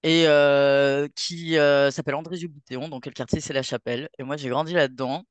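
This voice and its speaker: A woman's voice: deep voice, steady tone, fairly quick, no echo, muffled recording. A woman from France with a French accent, telling her story informally as an interview guest, thinking aloud as she goes. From France, near Saint-Étienne. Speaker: festival founder, interview guest. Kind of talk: casual chat, personal storytelling.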